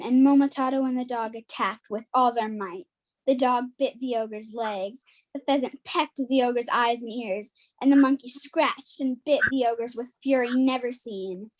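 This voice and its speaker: A woman's voice: sits high, poor audio. A woman narrator reading a scripted folktale as part of a dramatic performance.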